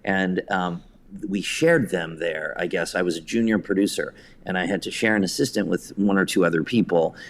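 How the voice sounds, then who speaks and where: Male, low-pitched voice, interview guest recounting creative career anecdotes in a conversational way. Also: normal speed. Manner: anecdotal, hesitant phrasing, acts out quotes. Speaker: interview guest, theatre director and writer.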